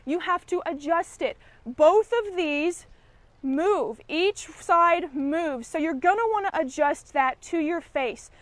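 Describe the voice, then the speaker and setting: A young woman teaches birding basics in a friendly, practical way, speaking straight to the camera. Her voice sits high and bright. It is close and dry, picked up on a clip-on mic outdoors. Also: slow pace, dry recording. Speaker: conservation educator. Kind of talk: outdoor how-to lesson. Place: garden yard outdoors.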